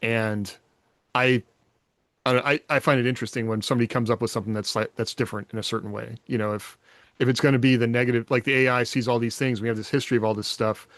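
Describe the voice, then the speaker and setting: A man talks in a casual, musing way, as if working ideas out while he speaks. His pitch sits in the middle for a man. The sound is dry, with next to no room on it. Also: steady tone, fast talker, no room sound. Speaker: podcast co-host. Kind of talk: casual chat about science fiction.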